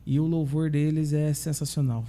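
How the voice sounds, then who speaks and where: A man, a pastor with a Brazilian accent, telling stories in a relaxed conversation and often checking in with tag questions. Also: fairly high pitch, normal speed. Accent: Brazilian Portuguese. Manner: chatty storyteller, frequent tag questions. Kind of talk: casual podcast conversation.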